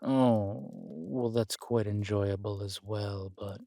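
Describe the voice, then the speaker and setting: Slow, low-voiced scheming villain king acted by a man. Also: fairly even intonation, gravelly, dark tone, no room sound, clean studio sound. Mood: teasing, amused. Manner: languid, teasing, menacing, drawn-out delivery. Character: scheming villain king, analytical, flirtatious, vengeful husband. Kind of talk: intimate roleplay audio drama.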